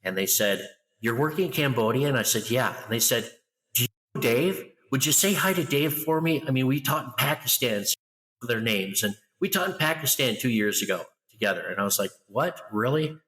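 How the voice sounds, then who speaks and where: A middle-aged man talking loudly and with lively energy as a guest in an interview. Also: medium pitch, fairly quick, long reverb tail, poor audio. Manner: loud, animated storyteller. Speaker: guest, international school educator. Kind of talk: conversational interview.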